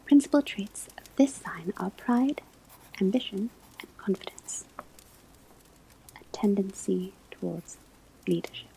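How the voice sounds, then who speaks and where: Slow whispering witch-school professor played by a woman. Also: medium pitch, animated. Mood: calm, instructive. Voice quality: soft, breathy whisper. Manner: slow, deliberate, measured, instructive. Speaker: ASMR roleplay performer. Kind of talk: whispered ASMR classroom roleplay lecture. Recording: clear background ambience noise. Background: classroom ambience, crackling fireplace, pages turning.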